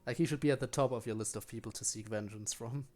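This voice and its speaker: A man's voice: medium pitch, fast talker, a little mellow. Man giving wry, casual gameplay commentary. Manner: wry asides, talking to himself and the game. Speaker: game streamer.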